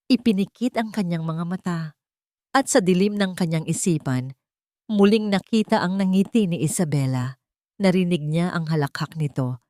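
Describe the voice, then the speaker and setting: A female storyteller delivers dramatic scripted narration. Her pitch sits a little low. The recording is close and dry, without room sound. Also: darkish tone, no echo.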